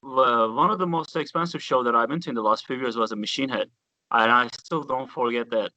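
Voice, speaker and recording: A man with a Turkish accent, a bassist guest telling stories in a relaxed interview with loose grammar and casual swearing. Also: brisk, no echo, rough recording. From Turkey.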